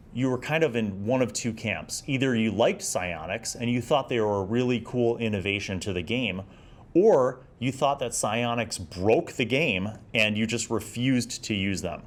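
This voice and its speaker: A man in his forties giving a casual, nostalgic review in a conversational, halting way. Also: mid-range voice. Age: 40s. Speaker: host of a gaming magazine review series.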